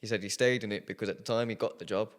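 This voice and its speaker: A man's voice: fairly low pitch, fairly even intonation, racing. Playful young man telling a story. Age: 20s. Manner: playful, jokey, retells conversations. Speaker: podcast co-host. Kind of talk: casual chat, storytelling.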